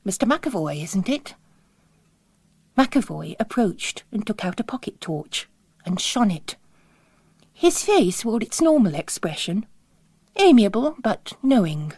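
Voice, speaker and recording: Female narrator for a literary novel: dramatic, slow, varied intonation, very dry studio-like sound. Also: mid-range voice, sing-song, darkish tone, breathy edge, dry recording.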